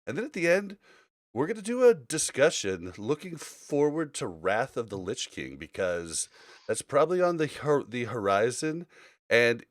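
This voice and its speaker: A man's voice: mid-range voice, very animated, moderate pace, dry recording. A man hosting a casual gaming podcast, speaking conversationally into a close mic. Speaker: podcast host.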